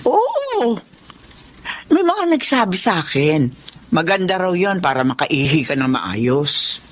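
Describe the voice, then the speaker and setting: A woman playing a gentle, hesitant elderly mother-in-law in a Filipino drama. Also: lowish voice, very animated, slow, heavily acted. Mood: ailing, reluctant. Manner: mild, hesitant. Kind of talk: Filipino radio-style drama acting.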